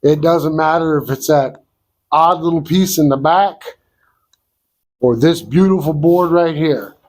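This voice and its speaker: A man hosting a sawmill vlog, congested from a cold, explaining things in a slow, folksy, down-to-earth way. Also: fairly high pitch, a little mellow, no room sound. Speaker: sawmill owner and channel host. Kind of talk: casual outdoor vlog walkthrough. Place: wood shed at a sawmill.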